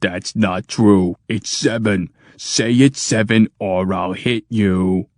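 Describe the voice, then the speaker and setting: A man voicing a dim-witted, stubborn giant machine that booms slowly and flatly. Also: no echo, studio quality. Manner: booming slow and flat.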